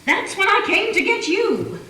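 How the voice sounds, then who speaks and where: A woman's voice: very high voice, big pitch swings, normal speed, very noisy. A woman playing a bold, blunt old-west townswoman in a community radio play, speaking with urgency. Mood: urgent. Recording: echoey room.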